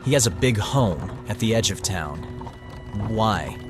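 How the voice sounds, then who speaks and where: A man's voice: on the low side, lively intonation, a little slow, music in the background. A man playing an earnest, worried young man from a farming family in an audio drama. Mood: uneasy, questioning.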